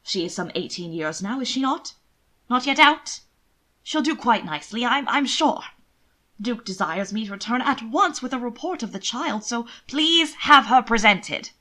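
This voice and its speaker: A proud, formal gentleman envoy played by a woman from a period storybook. The delivery is careful and patient with a lively melody, always courteous and always pressing. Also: a little high, normal speed. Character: proud, politely insistent male ducal envoy.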